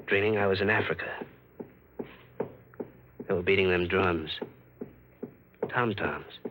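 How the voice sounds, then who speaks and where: An actor playing a tough, streetwise American fugitive who narrates in slangy hardboiled style in a 1940s radio thriller. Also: male voice, low voice, background noise. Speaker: actor and first-person narrator. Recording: old radio recording with loud music.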